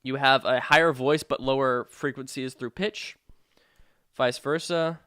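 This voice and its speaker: Clear-voiced man giving a dry tutorial. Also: mid-range voice, fairly even intonation, measured pace, a bit bright, no room sound. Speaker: sound design tutorial presenter. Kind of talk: software tutorial narration.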